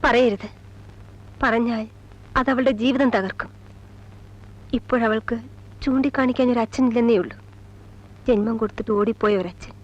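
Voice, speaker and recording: A woman's voice: a little high, steady tone, normal speed, pauses often, lightly acted. A middle-aged actress playing a strict, anxious, wounded mother in a Malayalam film drama, speaking in a firm, emotionally weighted way. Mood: anguished. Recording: dull old film soundtrack with music.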